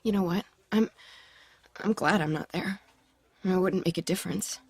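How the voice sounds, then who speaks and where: Brief: woman as newly blind grieving daughter, husky and breathy, dry close mic. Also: lowish voice, normal speed, no echo. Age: young adult. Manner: weary, subdued. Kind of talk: audio drama acting.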